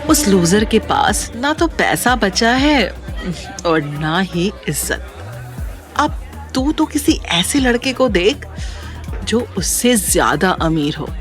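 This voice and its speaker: An actress playing a bubbly young party-girl friend in an audio story, coaxing in a casual, drawn-out way. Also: medium pitch, big pitch swings, faint music behind. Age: young adult. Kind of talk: Hindi audio story acting.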